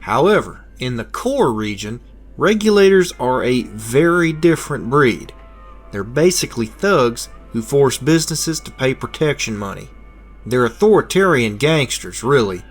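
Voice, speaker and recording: An actor voicing a matter-of-fact bounty contractor in a video game, giving a measured, dryly blunt mission briefing. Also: male voice, medium pitch, big pitch swings, faint music behind. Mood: businesslike, dry.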